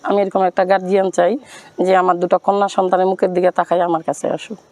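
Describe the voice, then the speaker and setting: A woman's voice: lowish voice, level tone, very fast, a little mellow, dry recording. A woman with a Bangladeshi accent, earnestly and plaintively telling her life story and appealing to listeners. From Rangpur, Bangladesh. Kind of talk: personal account and plea to viewers.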